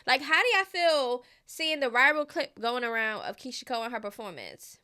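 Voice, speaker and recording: A woman in her thirties talks straight to her viewers in a high voice, chatty and full of slang. Her tone is bright and forceful, and she keeps checking in with them. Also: animated, normal speed, smooth. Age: 30s. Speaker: gossip commentary host. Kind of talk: casual commentary to viewers.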